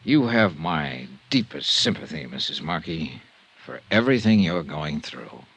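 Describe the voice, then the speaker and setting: Casting: a jaded, hard-boiled American insurance sleuth, old-time radio noir, with widely moving pitch. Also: male voice, sing-song, measured pace, gravelly, fairly bright tone, slightly rough, heavily acted. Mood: cool, probing. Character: cynical, world-weary hard-boiled male insurance investigator. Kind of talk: old-time radio detective drama, noir narration and dialogue. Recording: vintage radio recording, faint music.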